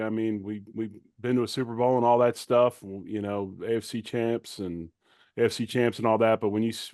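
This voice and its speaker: A man's voice: lowish voice, darkish tone, no room sound. A middle-aged man, a former player turned commentator, giving conversational sports analysis in an informal, plain-spoken way.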